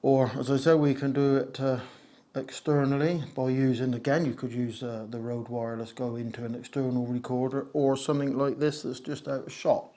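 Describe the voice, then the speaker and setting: A man with a slightly hoarse voice, talking casually to camera about his audio setup, with many fillers and self-mocking jokes, recorded on a wireless lapel mic in a somewhat echoey conservatory. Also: mid-range voice, little pitch movement, moderate pace, dark tone. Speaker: video creator explaining audio gear. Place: conservatory used as a home studio, a little echoey.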